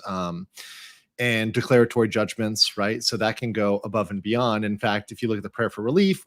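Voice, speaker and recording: A man's voice: on the low side, dry recording, clean studio sound. A man giving casual, analytical legal commentary as a panel guest, explaining points emphatically.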